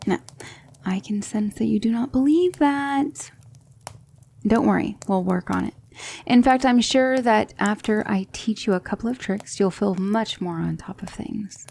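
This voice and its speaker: A woman playing a patient, composed demon magic teacher in a soft-spoken ASMR roleplay, measured and reassuring. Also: medium pitch. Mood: confident, instructive. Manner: measured, instructive, reassuring, with hushed breathy dips.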